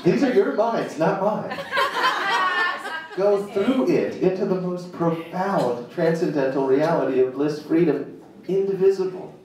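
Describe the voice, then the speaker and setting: A man giving a live dramatic reading of an essay, deliberate and emphatic, pausing between short phrases. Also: high-pitched. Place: live reading at a jazz club. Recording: echoey room, far from mic, faint noise.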